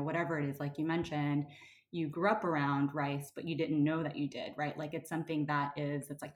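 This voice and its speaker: A woman from Korea with a low voice, talking in an easygoing, thoughtful way. Her talk is loose and full of fillers. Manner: relaxed, reflective, many fillers. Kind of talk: casual chat.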